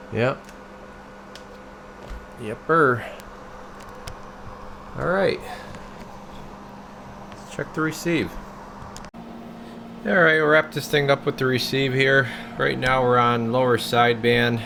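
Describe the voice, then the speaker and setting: Gravelly man muttering through a gear demo. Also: mid-range voice. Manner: muttering, thinking aloud while working. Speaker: radio technician demonstrating a CB radio. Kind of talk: casual unscripted demo narration. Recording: noisy room, steady hum of equipment.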